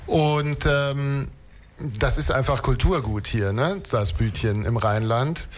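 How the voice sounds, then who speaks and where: A man from Munich, a guest on a show, explaining things in a relaxed way and ending his sentences with little tag questions. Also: mid-range voice, slow, a little hiss or hum.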